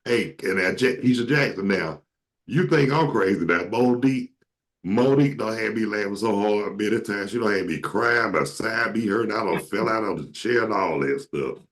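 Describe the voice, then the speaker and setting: A man with a deep, carrying voice telling casual, joking stories to friends as a talk show host, slangy and quoting people as he goes. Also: animated, normal speed, a bit bright. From southern United States. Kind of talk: casual comic storytelling with friends.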